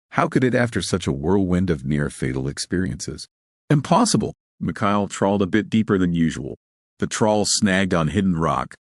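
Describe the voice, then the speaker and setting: A man's voice: deep voice, dry recording, studio quality. A man reading scripted fiction as a story narrator.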